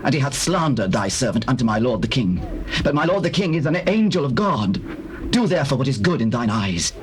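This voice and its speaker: An actor playing a humble lame prince, submissive and earnest, in dramatized scripture. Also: male voice, mid-range voice, sing-song, a little fast, lightly acted. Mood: humble pleading. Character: humble lame prince pleading before his king. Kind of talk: dramatized scripture, classical stage acting. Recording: noisy old cassette tape.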